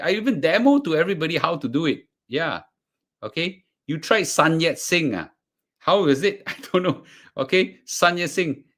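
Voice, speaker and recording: A man's voice: fairly high pitch, a bit bright. A man with a Singaporean accent talking casually to a class as presenter, restarting and repeating words. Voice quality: halting, repeats words, fillers. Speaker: course presenter. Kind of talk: casual live class talk.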